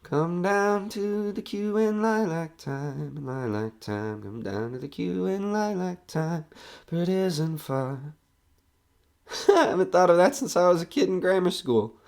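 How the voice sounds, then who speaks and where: A man's voice: high voice, lively intonation, measured pace, vocal fry, a little mellow, clear, resonant tone, full character voice. A man playing a nervous, skeptical broadcaster alone in a haunted house in an audio horror drama, rambling and joking anxiously. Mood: unravelling, giddy.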